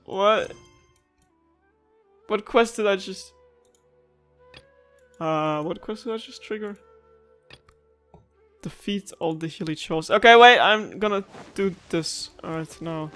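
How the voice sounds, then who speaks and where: Slow, bright-voiced woman doing game commentary. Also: medium pitch. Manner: emotive, dramatic, swearing. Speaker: gaming streamer. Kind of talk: casual let's-play commentary. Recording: game music underneath.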